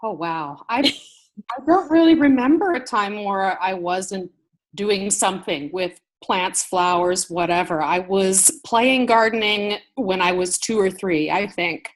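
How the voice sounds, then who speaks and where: A woman's voice: medium pitch, a little slow. An American woman, a gardening blogger, chatting as a guest on an interview and telling stories with light laughs. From United States. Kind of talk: casual interview chat.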